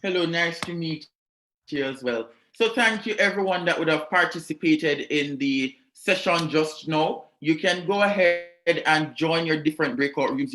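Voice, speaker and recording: A man's voice: a little high, fairly bright tone. Welcoming male online-conference host, roomy sound. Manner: friendly, welcoming, runs through logistics. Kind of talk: hosting an online youth conference. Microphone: video call. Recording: roomy echo.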